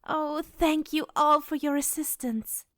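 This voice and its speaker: A woman plays a kindly goddess in a fantasy audio drama. Her voice is high and unhurried, with a gentle, courteous manner. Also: fairly even intonation, no room sound, studio quality. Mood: gracious.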